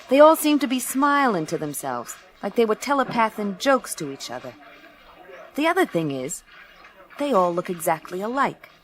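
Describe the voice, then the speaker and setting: A woman's voice: mid-range voice, close to an everyday voice. An actress playing a tough, wisecracking female private eye in a noir science-fiction radio play, with a streetwise, sardonic delivery. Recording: music under the voice.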